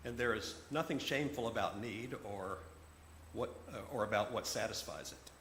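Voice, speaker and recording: A man voicing a wise, serene old Chinese man who speaks in formal, measured phrases within a told story. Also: fairly low pitch, fairly bright tone, close to an everyday voice. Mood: gentle, philosophical. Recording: roomy echo, background noise.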